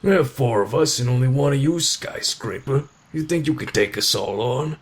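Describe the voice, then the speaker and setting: A man's voice: mid-range voice, moderate pace, lightly acted. A man acting in an ASMR roleplay as a cocky young street bully, taunting and swaggering. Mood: cocky, menacing.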